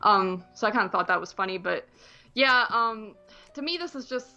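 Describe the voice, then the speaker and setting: A woman's voice: medium pitch, animated, moderate pace, sharp tone, lo-fi sound, soft background music. A woman chatting casually as a podcast co-host, rambling informally about films. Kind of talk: casual chat reviewing films.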